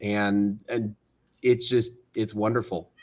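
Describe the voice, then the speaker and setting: A man speaking as an interview guest, casually recounting things and often pausing to search for words. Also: fairly low pitch, level tone. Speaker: interview guest, studio co-founder.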